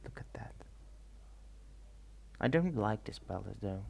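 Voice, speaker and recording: Soft-spoken man with a gravelly voice. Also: fairly low pitch, lively intonation, unhurried. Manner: rambling, hesitant, mixes soft talk with whispers. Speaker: ASMR vlogger. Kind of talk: soft-spoken travel vlog narration. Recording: faint ambient noise.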